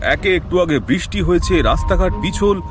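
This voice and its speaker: A man's voice: high-pitched, animated, normal speed, music in the background. A man playing a concerned village schoolmaster in an audio horror story, questioning and admonishing, with a kindly scolding way. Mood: surprised, scolding.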